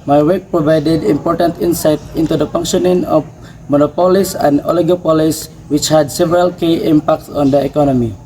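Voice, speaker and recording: Higher-voiced young man reading a scripted biography. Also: steady tone, a little fast, dark tone, rough recording. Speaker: student presenter. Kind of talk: scripted first-person biographical presentation, read out. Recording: background noise, ambient room sound.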